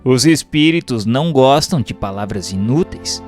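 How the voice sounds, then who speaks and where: A man with a Brazilian accent reading a book aloud as a formal, measured, didactic narration. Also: mid-range voice, expressive, music in the background.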